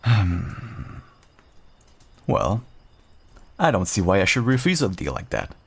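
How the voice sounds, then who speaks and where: A man playing a seasoned werewolf adventurer, gruff but kindly and wry, talking straight to the listener in an audio roleplay. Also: sits low, big pitch swings, takes it slowly, exaggerated voice. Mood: amused, agreeable. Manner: reassuring, wry, bantering. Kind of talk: audio roleplay, one-sided dialogue addressed to listener.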